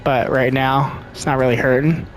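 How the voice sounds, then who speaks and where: A soft-spoken man giving casual let's-play commentary into a desktop microphone, thinking aloud with lots of ums and uhs. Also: medium pitch, flat delivery, moderate pace, over music, low-quality recording. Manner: rambling, thinking aloud with many fillers.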